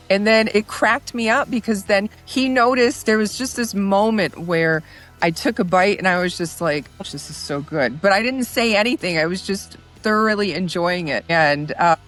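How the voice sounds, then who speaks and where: A woman's voice: mid-range voice, fairly bright tone. A woman podcast host chatting casually and telling anecdotes in a conversational interview.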